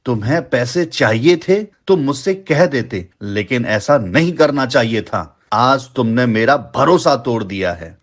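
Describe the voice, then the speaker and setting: A man's voice: mid-range voice, lively intonation, measured pace, few pauses. A man voicing an angry, unforgiving rich merchant in a moral story, scolding and accusing. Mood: hurt, accusing. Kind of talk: animated moral-story voice acting.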